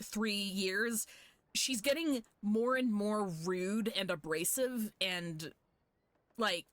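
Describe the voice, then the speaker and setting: A woman's voice: medium pitch, fairly bright tone, no room sound. A woman game streamer talking casually over her live stream, blunt, sweary and opinionated.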